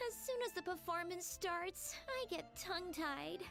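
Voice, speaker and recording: A shy, earnest young girl bard in a video game. Her voice sits extremely high, with soft music behind it. She comes across as nervous and sincere. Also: animated, normal speed, gravelly, full character voice. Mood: anxious, earnest.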